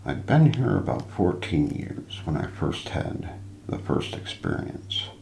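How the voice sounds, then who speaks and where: A man softly narrating mystery stories in an ASMR style, hushed and deliberate, with pauses. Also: sits low, sing-song, vocal fry, echoey room, slight background noise. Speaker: ASMR narrator hosting a mystery show roleplay. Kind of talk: soft ASMR scripted narration of ghost stories.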